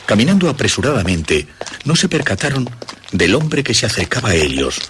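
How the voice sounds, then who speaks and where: A man narrating a scripted horror story for radio in a measured, dramatic storytelling manner. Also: lowish voice, sing-song, heavy background noise.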